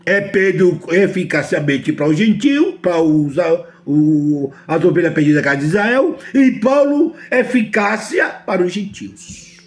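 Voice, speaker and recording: A man's voice: high voice, unhurried. A man from Brazil with a Brazilian Portuguese accent, preaching a sermon emphatically and often asking his listeners whether they understand.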